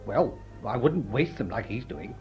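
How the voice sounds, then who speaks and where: An actor playing an amiable, grumbling English gentleman of leisure with an upper-class accent and a blustery, chatty manner in a period drama. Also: medium pitch, lively intonation, a little slow, lightly acted. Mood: grumbling, genial. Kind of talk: period drawing-room radio drama acting. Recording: dull muffled audio, music underneath.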